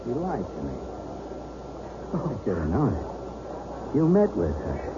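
An actor playing an embittered police lieutenant in a radio drama, terse and commanding, with vengeful brooding. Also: male voice, on the low side, huge pitch swings, slow pace, heavily acted. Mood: bitter, vengeful. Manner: terse, clipped commands alternating with brooding speech. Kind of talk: 1970s radio drama acting. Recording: muffled, noisy 1970s radio recording.

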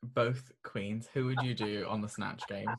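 A man co-hosting a casual podcast chat, excitable and chatty. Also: fairly low pitch, measured pace, noisy background.

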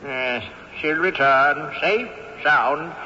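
A man's voice: a little high, drawn-out words, sharp tone, full character voice. A man playing a crusty, wealthy old male ghost in a radio drama, speaking in a haughty drawl with chuckling asides. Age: older. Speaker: ghost character. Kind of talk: 1970s radio drama acting. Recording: dull old radio broadcast, faint hiss, echo.

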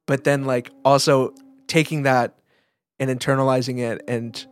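A man co-hosting a casual podcast, thinking aloud in a reflective, halting way. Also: medium pitch, steady tone, clear, resonant tone. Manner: reflective, halting, piecing thoughts together. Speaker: podcast co-host, photographer.

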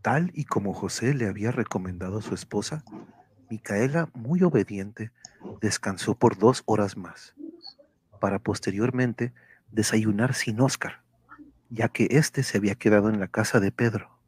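A man reading as the narrator of an amateur family radio drama, in a measured, deliberate storytelling style. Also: on the low side, a little mellow, breathy edge, dry recording. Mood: calm, measured. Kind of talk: slow scripted storytelling.

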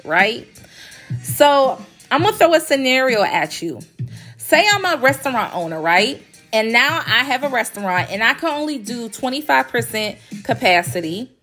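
A woman's voice: fairly high pitch, a bit bright. A woman hosting a casual podcast, sounding congested as she recovers from illness, chatty and emphatic, repeating phrases for stress. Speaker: podcast host, graphic design company owner. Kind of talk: casual solo podcast talk.

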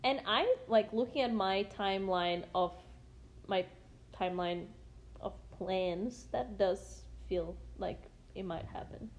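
A woman's voice: medium pitch, slow, highs cut off. Woman, twenties, mid-range voice, playful, laughing, casual chat. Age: 20s. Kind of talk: casual chat between friends.

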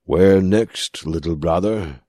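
A man's voice: deep voice, takes it slowly, warm tone, dry recording, clean studio sound. A man voicing a confident, falsely brotherly male scrapper ringleader in a science fiction audiobook, with a cajoling, familiar manner.

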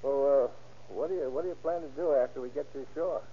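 Actor as a husky boat captain, tinny radio. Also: male voice, mid-range voice, fast, warm tone, lightly acted. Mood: practical. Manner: hesitant, folksy, easygoing. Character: plain-spoken boat captain testifying as undercover witness. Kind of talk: old-time radio crime drama acting. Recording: tinny, band-limited old radio recording.

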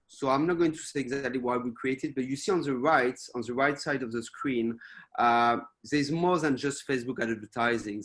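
A man with a French accent, a guest speaker on a webinar over a video call, halting with many fillers and restarts. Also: mid-range voice, a bit bright. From France. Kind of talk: presenting to a webinar.

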